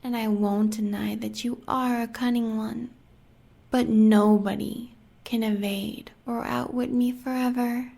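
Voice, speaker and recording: A woman's voice: fairly high pitch, flat delivery, a little slow, creaky, warm tone. A woman with an American accent playing a sadistic, seductive huntress in an audio roleplay, talking in a teasing half-whisper. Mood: menacing.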